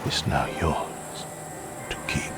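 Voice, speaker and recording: A man's voice: slow, over loud music, natural voice. An actor voicing a solemn, dying male sword spirit in a dramatic game scene. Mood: solemn, fading.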